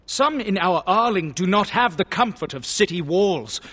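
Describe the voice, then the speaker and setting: A man's voice: high-pitched, animated, moderate pace, bright, forceful tone, heavily acted. An actor playing an earnest, indignant lord in a fantasy game, formal and impassioned, with long hall reverb on his voice. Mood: indignant, pleading. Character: earnest, indignant lord pleading for starving peasants. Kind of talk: scripted fantasy game dialogue.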